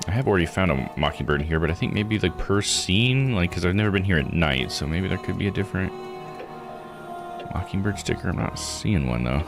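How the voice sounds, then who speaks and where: A man's voice: sits low, expressive, fairly quick, gravelly, over music. A man giving casual, rambling live commentary on a game, explaining and thinking aloud as he plays. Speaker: streamer playing and recapping a visual novel.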